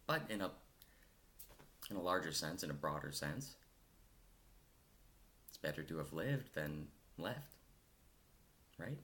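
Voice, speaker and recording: Man acting a wistful, low-voiced theatre fanatic in monologue. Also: animated, pushed and bright, full character voice. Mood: bitter, resigned. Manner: dramatic pauses, theatrical monologue delivery. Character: middle-aged man, musical-theatre fanatic, wistful, bitter, confiding. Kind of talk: theatrical monologue addressed to the audience.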